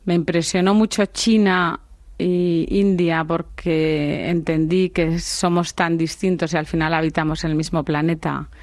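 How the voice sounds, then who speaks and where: A woman from Spain, a business leader as the guest in a radio studio, answering in a reflective, unhurried way. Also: lowish voice, flat delivery, darkish tone, no echo. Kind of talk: radio interview conversation.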